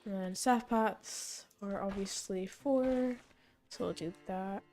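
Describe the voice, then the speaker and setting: A young woman streaming a zoo-building game, thinking aloud and correcting herself as she goes, her voice slightly hoarse and fading. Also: medium pitch, slow, darkish tone, faint music behind. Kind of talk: casual gameplay commentary.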